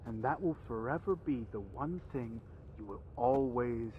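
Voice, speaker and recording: A man's voice: medium pitch, a little slow, narrow, tinny audio, a little hiss or hum, close to an everyday voice. An actor playing a grief-stricken, bitter villainous father in an audio drama, speaking in theatrical, accusatory questions. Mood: brooding.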